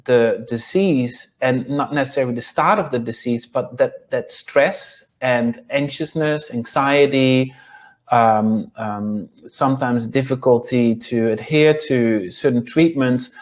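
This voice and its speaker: A man's voice: medium pitch, slow pace. A man in his thirties from the Netherlands with a Dutch accent, speaking hesitantly with many ums as an interview guest on a video call from a small room. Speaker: guest nutritionist.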